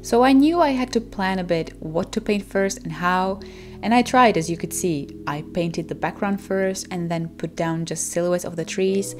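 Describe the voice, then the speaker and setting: A woman's voice: medium pitch, darkish tone. A young woman in her twenties with a Czech accent, a native Czech speaker, narrating her art vlog in a chatty, self-deprecating way with frequent laughs. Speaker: art channel host.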